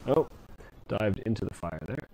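A man's voice: fairly low pitch, animated, moderate pace, warm tone, a little hiss or hum, low-quality recording. A man playing a video game on a comedy show, chatting casually and laughing at his own mistakes. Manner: laughing, self-mocking. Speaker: player and lead character. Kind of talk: casual comedic gameplay chat.

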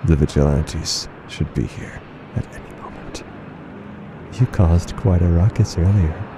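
Horror audio drama: male actor as unhinged blind announcer, deep, slow, formal, brooding, over noisy ambience. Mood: hushed. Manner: theatrical, halting, formal, brooding. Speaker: voice actor playing a radio announcer. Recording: noisy rumbling ambient bed under the voice.

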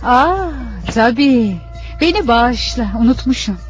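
A woman voicing a prophet's discontented, sharp-tongued wife in a religious cartoon, with a complaining, theatrical manner. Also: on the high side, animated, a little slow, faint music behind. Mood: flustered. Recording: dull lo-fi cartoon soundtrack.

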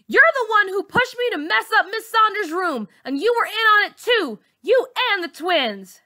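A woman's voice: extremely high pitch, big pitch swings, normal speed, pushed and bright, voice pushed up, heavily acted. A woman voicing a defiant, wounded young schoolgirl in an audiobook, emphatic and outspoken as she stands up for herself. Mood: accusing.

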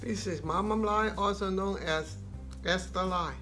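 Slow older woman with a Cantonese accent. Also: mid-range voice, a bit bright, soft background music. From rural China. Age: 60s or older. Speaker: mother acting as language teacher. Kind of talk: casual teaching chat. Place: small plain room indoors.

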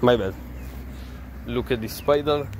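Slow-talking young man with Israeli accent. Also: medium pitch, background noise. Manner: rambling, slangy, trailing off. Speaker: vlogger. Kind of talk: casual walking vlog. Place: outdoors on city streets.

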